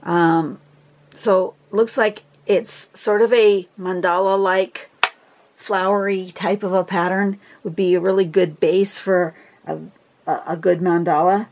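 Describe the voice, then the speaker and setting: Woman host, hoarse with a cold, casual rambling tutorial delivery, recorded indoors at a table. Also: on the low side, fairly even intonation, unhurried, a little mellow. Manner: chatty, rambling, many fillers and throat clearing. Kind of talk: casual instructional talk. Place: indoors at a table, filming a phone screen.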